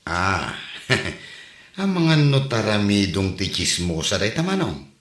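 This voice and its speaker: An actor playing a nosy, wry village neighbor with an Ilocano Filipino accent in a comedy drama. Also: male voice, lowish voice, sing-song, slow, a bit bright, roomy sound, full character voice. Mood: wry, mocking.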